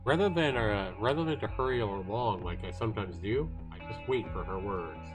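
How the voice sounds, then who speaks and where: Man, fairly low pitch, story narration, casual asides, livestream reader, soft game music behind. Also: expressive, normal speed. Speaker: livestreamer reading a visual novel aloud.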